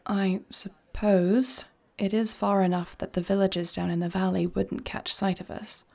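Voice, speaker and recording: A woman playing a noble, devoted warrior queen in an intimate fantasy audio roleplay, husky and breathy, speaking in measured, earnest, formal phrases. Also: medium pitch, flat delivery, darkish tone, no room sound. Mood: concerned, thoughtful.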